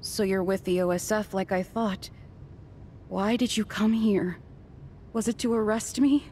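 An actress playing a guilt-ridden, guarded adult researcher in an anime game, sincere and hesitant. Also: female voice, mid-range voice, fairly even intonation, measured pace, dark tone, a little hiss or hum, close to an everyday voice.

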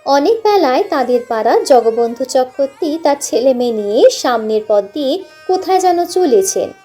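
High-pitched woman narrating a story. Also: animated, normal speed, dark tone, husky. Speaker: audiobook narrator. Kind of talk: scripted literary story narration. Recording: music under the voice.

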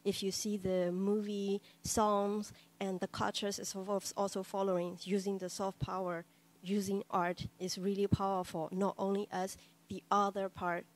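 A woman artist speaking at a roundtable in a gallery, earnest and halting in English that is not her first language. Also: mid-range voice, flat delivery. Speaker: panelist artist. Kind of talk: roundtable discussion remarks. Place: gallery room with audience.

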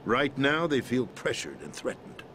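An actor playing a middle-aged president in a video game, explaining a conspiracy in a measured, grave manner. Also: male voice, medium pitch, very animated, a bit bright, a little hiss or hum. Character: calm, grave middle-aged man, president briefing via codec. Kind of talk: video game cutscene voice acting.

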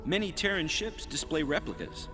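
A man voicing a calm, scholarly cadet who explains things precisely in an animated sci-fi dub. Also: a little high, moderate pace. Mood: matter-of-fact. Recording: reverberant dub track with music underneath.

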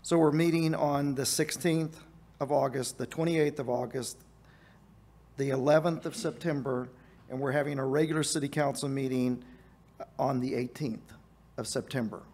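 A man's voice: medium pitch, fairly even intonation, a little mellow. Man chairing a council meeting. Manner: brisk, procedural, checks agreement. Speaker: presiding council member. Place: council chamber.

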